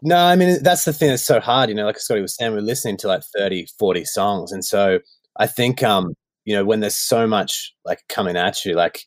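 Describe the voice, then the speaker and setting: Dry-sounding Australian man, relaxed storyteller. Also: fairly low pitch, brisk, no room sound. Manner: loose storytelling with many fillers. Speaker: worship songwriter guest. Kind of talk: casual podcast chat.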